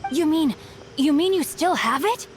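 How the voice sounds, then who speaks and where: A woman's voice: high voice, animated, a little slow, slight background noise. A woman voicing a polite, bookish young boy in a video game, speaking in formal, flowery phrases. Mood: hopeful. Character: courteous, bookish young boy, eloquent martial-arts novel enthusiast. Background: music.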